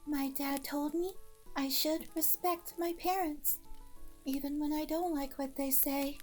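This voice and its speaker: A little girl with a very high, slow-paced voice. She sounds polite, earnest and easily hurt, yet frank. Soft music sits behind this radio drama. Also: steady tone. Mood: earnest, shy. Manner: earnest, timid but frank. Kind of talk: horror radio drama acting.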